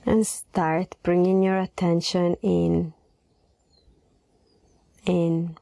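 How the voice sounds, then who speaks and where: A woman leading a guided meditation in a soft, gentle voice, unhurried and pausing between phrases. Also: on the low side, flat delivery, gravelly, no echo.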